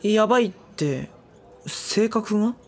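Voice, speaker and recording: An actor in a drama CD voicing a quiet young man who asks soft, hesitant questions. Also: high voice, expressive, takes it slowly, full character voice. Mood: curious. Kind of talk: drama CD acting. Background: background music.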